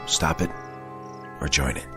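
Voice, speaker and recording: An actor playing a grave, commanding army sergeant in a radio drama, intense and deliberate. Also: male voice, natural voice. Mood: grave, brooding. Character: grave, commanding male army sergeant, convinced of an eerie theory. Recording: music bed under radio drama dialogue.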